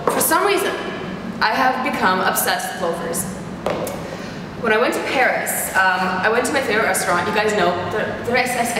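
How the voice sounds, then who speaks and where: A young woman in her twenties with a stuffy, nasal voice from a sinus infection, chatting bubbly and rambling to camera about her favorite products. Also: medium pitch. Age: 20s. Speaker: beauty and fashion vlogger. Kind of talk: casual chat to camera. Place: large bright loft indoors. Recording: roomy echoey loft, mic far off, noise behind.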